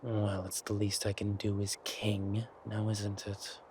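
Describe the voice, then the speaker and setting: A man playing a villainous king in an audio roleplay, silky and amorous yet quietly furious, with deliberate, mock-polite speech. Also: lowish voice, completely flat delivery, slight background noise. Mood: playfully menacing.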